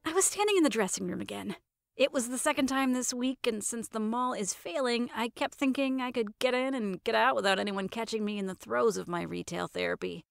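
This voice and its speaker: A woman's voice: fairly high pitch, brisk, creaky, no echo, clean studio sound, faint music behind. A woman hosting and narrating a fiction podcast, telling a first-person story in a wry, theatrical way.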